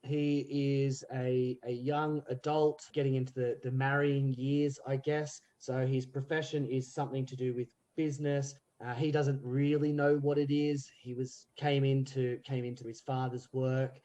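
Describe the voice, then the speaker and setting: A man with a voice of ordinary pitch talks as a guest on a podcast. He is hesitant, thinking aloud and filling pauses with ums and uhs. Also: flat delivery, warm tone. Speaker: theatre group member, guest. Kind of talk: casual podcast chat.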